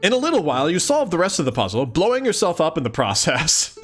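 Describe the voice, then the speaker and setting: A man with a fairly high voice and very lively intonation reads aloud and comments as he goes. His delivery is casual and amused, given to exclamations and playful sarcasm, with some music behind him. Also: fast. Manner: amused, exclaiming, often chuckling. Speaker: host of a let's-read series.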